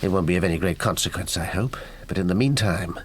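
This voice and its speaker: Guy playing a weary kindly old doctor, deep voice, formal n measured, period audio drama, slight noise. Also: lightly acted. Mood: grave, firm.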